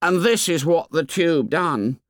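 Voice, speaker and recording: An eager, anxious fat schoolboy with asthma, as a male reader puts him on, chattering in a high, lively voice full of slips in grammar. The reading is dry and close, with no room sound. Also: unhurried, dry recording. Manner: eager, working-class boy's grammar.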